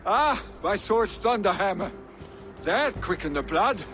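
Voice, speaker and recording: Brash young viking heir in a game, takes his time, highish voice, music under it. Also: animated, unhurried. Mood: boisterous. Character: restless young Viking warrior, jarl's son, hot-blooded, brash. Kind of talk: dramatic game cutscene dialogue.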